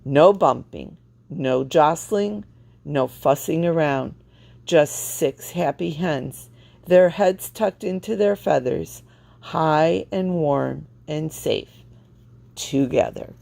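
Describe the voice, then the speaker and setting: A woman reading a children's storybook aloud with expressive, deliberate phrasing. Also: deep voice, creaky. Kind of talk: read-aloud storybook narration.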